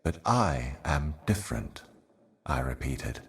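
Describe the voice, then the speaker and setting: A man voicing an ancient, weary otherworldly envoy in a video game, delivering cryptic poetic monologues in a grave, brooding, measured manner. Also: extremely low pitch, big pitch swings, vocal fry, heavily acted. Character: ancient solemn otherworldly envoy, weary eternal servant of cosmic darkness.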